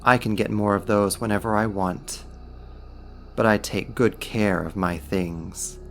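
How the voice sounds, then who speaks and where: A man's voice: on the low side, steady tone, fairly bright tone, a little hiss or hum. A man playing a smug, possessive archdemon in an ASMR roleplay, teasing and coaxing a human in an intimate, amused manner. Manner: teasing, smug, deliberate delivery. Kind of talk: ASMR roleplay acting, intimate second-person monologue.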